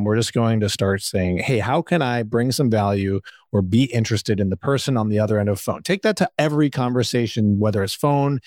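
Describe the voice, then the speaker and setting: A man's voice: medium pitch, darkish tone, no echo, clean studio sound. A man co-hosting a podcast, talking informally in a coaching style, posing questions and answering them himself. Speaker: podcast co-host. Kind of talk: casual conversational coaching talk.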